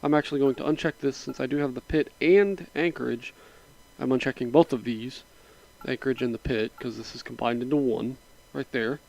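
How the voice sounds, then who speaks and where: A man of middle pitch talks through a setup on his computer in a loose, unhurried way. His voice is a bit raspy from a sinus cold. He drifts between steps with small fillers and asides. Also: poor audio. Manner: relaxed, rambling, with frequent fillers. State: slightly raspy from a sinus infection. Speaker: mod tutorial narrator. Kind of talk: casual screen-recording walkthrough.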